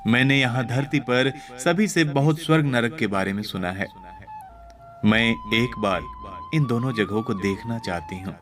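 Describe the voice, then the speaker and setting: A man's voice: mid-range voice, moderate pace, natural voice. Kindly, inquisitive old woman played by a male narrator in a moral storybook tale, polite and questioning, music underneath. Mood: polite, pleading.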